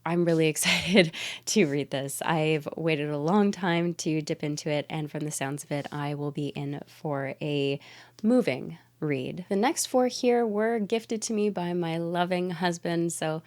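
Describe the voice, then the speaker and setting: A young woman from Canada talks through a book haul in an easy, chatty way, often breaking into small laughs. Her voice sits a little low for a woman and carries a slight nasal stuffiness from a cold. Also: unhurried, a little mellow. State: mildly congested from a cold. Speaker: booktube host. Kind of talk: casual book haul chat.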